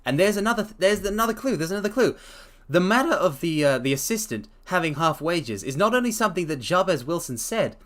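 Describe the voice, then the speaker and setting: A man's voice: high-pitched, very animated, quick pace, few pauses, a bit bright. A man streaming a dramatic story reading, breaking off with enthusiastic, chatty asides to viewers.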